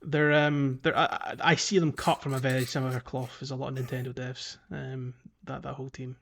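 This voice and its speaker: A man co-hosting a podcast in a casual, somewhat hesitant, halting conversational style. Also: mid-range voice, level tone, creaky, a bit bright. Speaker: podcast co-host. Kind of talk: casual video game podcast discussion.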